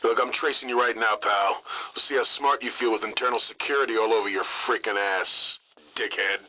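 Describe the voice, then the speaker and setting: Testy, forceful military radio operator. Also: male voice, fairly low pitch, steady tone, fairly quick, rough recording. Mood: irritated, threatening. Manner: snide, wisecracking, testy. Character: irritable foul-mouthed military communications operator. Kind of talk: video game voice acting.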